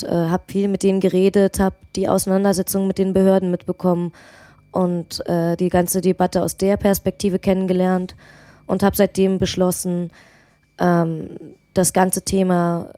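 A woman's voice: on the low side, mellow, no echo. A woman from Germany, a local politician, talking on a podcast in a thoughtful way with many hesitations. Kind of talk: casual podcast conversation.

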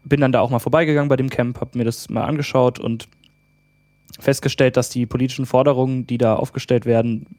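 A man's voice: mid-range voice, steady tone, fast talker, a little mellow, dry recording. A man from Germany, a political candidate, talking on a podcast with frequent hesitations. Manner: hesitant, many fillers. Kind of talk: casual podcast conversation.

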